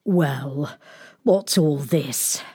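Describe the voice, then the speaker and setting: A woman voicing a kindly, thoughtful king in a storybook, speaking in a slow, measured way. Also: sits low, warm tone, husky, dry recording, studio quality, voice pushed down, heavily acted.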